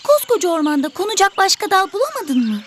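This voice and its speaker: Very high-pitched haughty, grumpy cartoon bird. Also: female voice, sing-song, fast talker, slight background noise. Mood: irritated, dismissive. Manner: haughty, brusque, scolding. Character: selfish grumpy bird guarding its tree. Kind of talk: educational cartoon voice acting.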